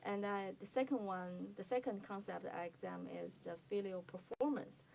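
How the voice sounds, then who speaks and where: A woman with a Chinese accent explaining research as a guest expert, careful and halting with frequent fillers. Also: mid-range voice, creaky, a little mellow, a little hiss or hum, poor audio. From China. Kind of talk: academic explanation.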